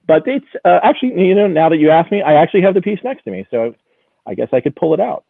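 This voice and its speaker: A man presenting auction lots in an informal live preview over a video call, enthusiastic and detailed, often pausing to find his phrasing. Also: on the high side, sing-song, gravelly, no echo, phone-quality sound.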